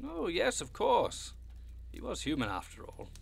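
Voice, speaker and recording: A man's voice: sits high, wildly swooping pitch, unhurried, creaky, slight background noise, exaggerated voice. A man playing a genteel, fussy old goat-man monster in an audio drama, with prim, courtly, long-winded speech. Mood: proud, reminiscing.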